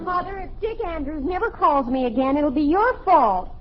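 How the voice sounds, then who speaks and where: An American actress playing a sulky, melodramatic teenage daughter in an old radio family comedy, whiny and indignant. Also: female voice, sits high, expressive, moderate pace, some chatter behind. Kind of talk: 1950s American radio family sitcom acting. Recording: muffled old radio recording.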